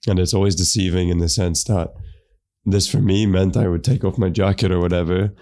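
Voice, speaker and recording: Male co-host, hoarse with a cold, deep dark voice, easygoing and profane. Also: steady tone, brisk. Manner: laid-back, swears casually. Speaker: podcast co-host. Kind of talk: casual chat.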